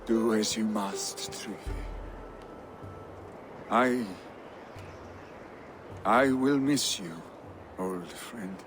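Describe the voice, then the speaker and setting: A man's voice: fairly low pitch, drawn-out words, long pauses. A man voicing a brash, restless young Viking, a jarl's son, expressively in a dramatic video game. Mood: sorrowful. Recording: prominent music behind the voice.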